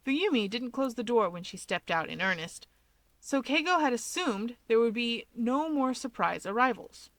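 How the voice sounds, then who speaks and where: A woman reading a story aloud as narrator, with expressive scripted delivery. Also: a little high, moderate pace, no echo.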